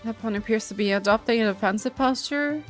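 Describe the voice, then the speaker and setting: A woman's voice: a little high, fast, faint music behind. A woman giving casual gameplay commentary, reading game text aloud and cheering her pet with giggles. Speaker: gaming video creator.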